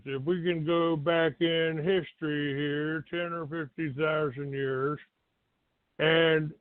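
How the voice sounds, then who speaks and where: An older American man from Texas hosting a talk show, giving a rambling, halting monologue to his listeners. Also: fairly high pitch. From Texas, United States. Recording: roomy, tinny phone-like line.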